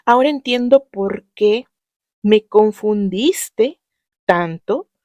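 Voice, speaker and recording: A woman with a Mexican accent reading the lines of a young woman's letter in a gentle, measured way. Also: mid-range voice, expressive, no echo. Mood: tender.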